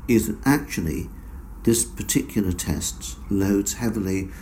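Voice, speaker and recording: A man answering interview questions about his research in a measured, explanatory way, with frequent fillers. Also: on the low side, animated, warm tone, slight background noise. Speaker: researcher guest.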